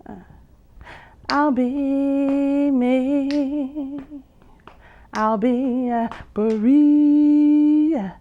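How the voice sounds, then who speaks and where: A woman poet and vocalist performing spoken-word poetry with drawn-out phrases, pauses and hums, some lines half sung. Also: high-pitched. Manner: slow, drawn-out delivery with long pauses and hums. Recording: dull lo-fi sound.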